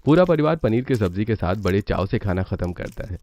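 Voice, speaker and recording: A man's voice: on the low side, lively intonation, fairly quick, dark tone, faint music behind. A man narrating a scripted cartoon family story as the storyteller. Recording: dull lo-fi narration, faint noise.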